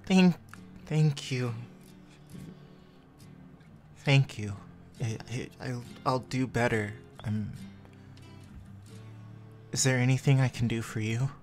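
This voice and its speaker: A woman's voice: very deep voice, slow pace, pauses often, a little mellow, soft background music. A woman voicing a shaken, desperate young woman who stammers and pleads in a tabletop roleplaying game. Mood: shaky gratitude.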